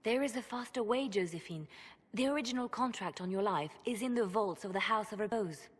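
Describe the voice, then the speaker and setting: A woman plays a pragmatic spymaster in a fantasy game. She speaks directly and with cool insistence, at a middle female pitch. Long hall reverb trails her voice. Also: fairly quick, husky, natural voice. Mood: insistent, assured. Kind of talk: scripted video game cutscene acting.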